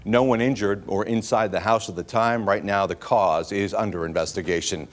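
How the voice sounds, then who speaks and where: A man's voice: lowish voice, steady tone, moderate pace. An American man anchoring a TV newscast, reading scripted news stories in a studio. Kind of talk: scripted newscast with brief chat.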